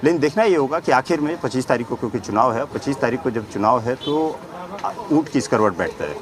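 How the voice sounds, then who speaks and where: A man working as a TV news reporter, doing street interviews with halting, repetitive phrasing in an outdoor market. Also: mid-range voice, animated, rough recording. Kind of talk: street vox-pop interviewing. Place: outdoors in a busy city market. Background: faint urban street ambience.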